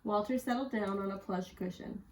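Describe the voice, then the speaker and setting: A woman's voice: medium pitch, moderate pace, darkish tone, slight background noise. A woman reading a children's picture book aloud as a storyteller, recorded at a distance from the microphone. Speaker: school storyteller.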